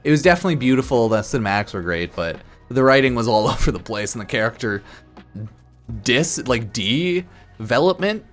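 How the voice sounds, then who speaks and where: Male game streamer, mid-pitched, loose tipsy rambling with crude slang, music underneath. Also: big pitch swings, fairly bright tone. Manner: slightly tipsy, loose, halting and repetitive; informal, crude slang and swearing. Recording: music behind the voice. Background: background music.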